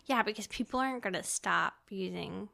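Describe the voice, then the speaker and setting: American woman, creaky mid-range voice, exclamatory and informal podcast co-host. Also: mid-range voice, a little fast, pushed and bright. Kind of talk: casual book-club podcast chat.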